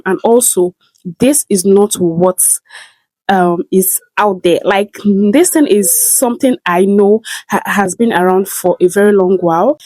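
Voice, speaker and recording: Slow-speaking woman with a heavy stammer. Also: medium pitch, darkish tone, dry recording, rough recording. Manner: heavy stammer with repeated sounds and blocks; earnest, speaks directly to a viewer by name. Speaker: content creator. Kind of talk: casual vlog advice.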